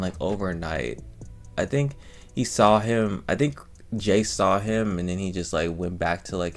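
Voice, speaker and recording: A man's voice: low-pitched, vocal fry, a bit bright. A man giving casual, off-the-cuff reaction commentary as a host, rambling and repeating his phrases as he goes.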